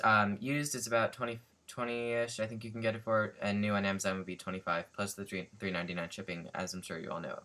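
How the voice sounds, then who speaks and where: A man's voice: fairly low pitch, bright, forceful tone. A young man casually reviewing a language book for his vlog, rambling with lots of fillers and restarts, recorded on a built-in computer mic at his desk. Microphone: built-in computer webcam mic.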